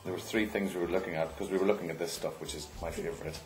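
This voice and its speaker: A man's voice: deep voice, steady tone, rapid-fire, faint music behind, poor audio. A man who helped organise an event chats casually and enthusiastically, reminiscing with tag questions. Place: at the back of a post office.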